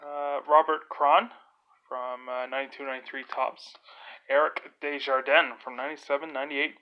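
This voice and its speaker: A man's voice: medium pitch, little pitch movement, moderate pace, highs cut off. A man with a stuffed-up nose, casually talking through a hockey card pack opening, with lots of uh fillers. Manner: rambling, lots of "uh" fillers, naming cards as he goes.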